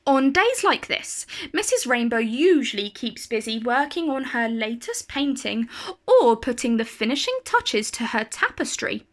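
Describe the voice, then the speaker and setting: A woman reading a children's picture book aloud in an expressive, carefully phrased storytelling style. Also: on the high side, a little slow.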